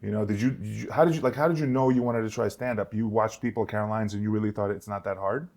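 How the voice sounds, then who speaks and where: A man hosting a casual chat, bantering and jumping in with questions in an excitable way. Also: lowish voice, fast talker. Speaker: podcast host and amateur stand-up comic.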